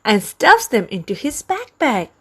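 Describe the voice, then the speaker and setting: Woman reading a kids picture book, fairly high voice, super animated, takes her time. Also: breathy edge. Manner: slow, deliberate storytelling for young children. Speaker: children's book reader. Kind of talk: expressive read-aloud storybook narration.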